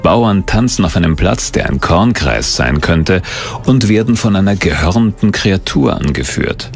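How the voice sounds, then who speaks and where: A male documentary narrator with a very low voice that has a gravelly creak. He delivers scripted narration with wide, animated intonation.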